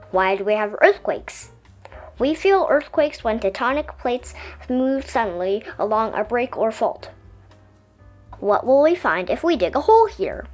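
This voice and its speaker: A woman reading a children's science picture book aloud in an expressive, explanatory storytelling way. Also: fairly high pitch.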